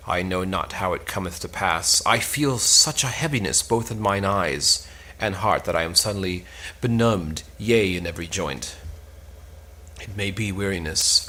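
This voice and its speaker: A man plays a lovesick young man in an Elizabethan stage play, with a low, bright-edged voice. He broods aloud in declamatory verse, melancholy and musing. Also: heavily acted. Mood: weary melancholy.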